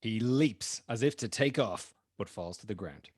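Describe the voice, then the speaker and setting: A man's voice: on the low side, animated, unhurried, husky, dry recording, studio quality. A man narrating the stage directions of a screenplay at a table read.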